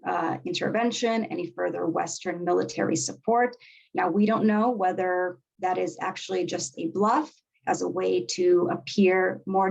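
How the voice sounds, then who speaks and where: A woman moderating an academic panel, speaking in a measured, articulate and formal way. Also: on the low side, vocal fry, husky, rough recording.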